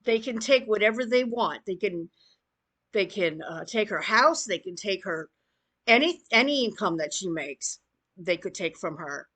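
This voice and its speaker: Woman ranting for her channel, kinda slow paced but super up and down, blunt and scornful. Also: medium pitch, lively intonation, a bit bright. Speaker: YouTube commentator. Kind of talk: opinionated rant commentary on online drama.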